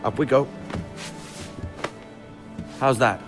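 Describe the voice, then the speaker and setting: A man's voice: mid-range voice, steady tone, very slow. An actor playing a wry, affectionate seafaring father in a video game. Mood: playful, tender. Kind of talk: video game cutscene acting. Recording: loud music over the voice.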